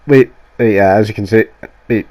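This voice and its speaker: A man's voice: lowish voice, moderate pace, warm tone. A man with a cold, sounding nasal and coughing now and then, rambling through a casual, sweary game review voiceover. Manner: rambling, sweary, enthusiastic, restarts often. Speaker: gaming YouTuber. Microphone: voiceover mic.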